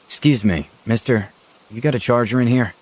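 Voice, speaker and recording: A man's voice: mid-range voice, normal speed, a little mellow, breathy edge, pitched above the performer's own voice, natural voice. A man voicing an irritated, uneasy young male car passenger in a horror story, speaking sharply. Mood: questioning. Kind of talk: acted dialogue in a horror story.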